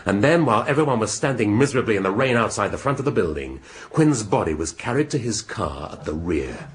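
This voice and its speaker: An actor playing a shrewd middle-aged English police inspector in a radio drama, speaking deliberately and rhetorically with dramatic pauses. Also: male voice, on the low side, sing-song. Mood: accusing. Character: shrewd, theatrical male police inspector laying out a murder case.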